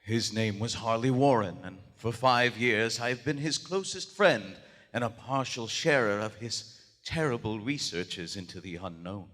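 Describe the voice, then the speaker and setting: An actor playing a shaken, haunted writer of weird fiction in a live radio horror play, with formal, archaic, dramatic diction. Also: male voice, lowish voice, unhurried, fairly bright tone. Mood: haunted, grave. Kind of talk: live 1920s-style radio horror theater acting. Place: large theater stage, live performance. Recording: live stage in echoing theater hall.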